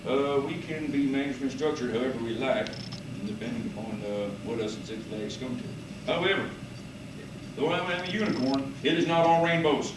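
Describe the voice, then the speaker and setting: A man voicing a unicorn billionaire boss in an amateur puppet show, halting and mock-serious. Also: mid-range voice, fairly even intonation, pitched below the performer's own voice, close to an everyday voice. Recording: roomy, noisy, far from microphone.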